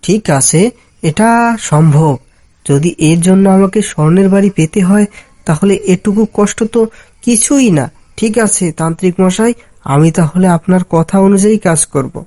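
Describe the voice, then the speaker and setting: A man's voice: high voice, moderate pace, warm tone, close to an everyday voice. A man voicing a dejected, educated, jobless young village man in a cartoon folk tale, with a plaintive, earnest delivery. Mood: surprised, hopeful.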